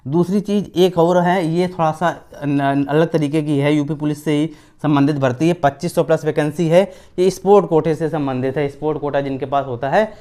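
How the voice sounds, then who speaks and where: A man's voice: fairly high pitch, fairly even intonation, moderate pace, few pauses, mellow. A man hosts an informal explainer on recruitment updates, repeating phrases for emphasis and often checking in with his listeners. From India. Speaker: host of an exam-news channel.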